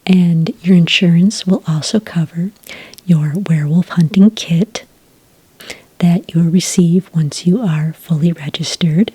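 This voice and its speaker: A woman doing a soft-spoken ASMR roleplay as a friendly registration clerk, whispery and breathy, explaining things gently and without hurry. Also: on the low side, no echo, rough recording. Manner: gentle, unhurried customer-service explaining. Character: friendly female registration clerk for werewolf hunters. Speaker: ASMR roleplayer as a licensing associate.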